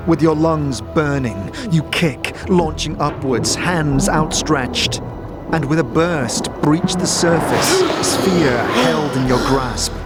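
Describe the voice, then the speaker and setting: A man narrating a fantasy audio series with theatrical, measured, suspenseful phrasing. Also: mid-range voice. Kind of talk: dramatic second-person fantasy narration. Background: loud music and sound effects.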